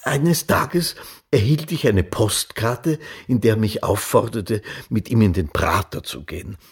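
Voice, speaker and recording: An actor playing a reflective man recalling his student friendship in a German radio play, plainly told in a very husky voice. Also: fairly low pitch, unhurried, heavily acted. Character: Soma Morgenstern, reflective male friend recalling student days.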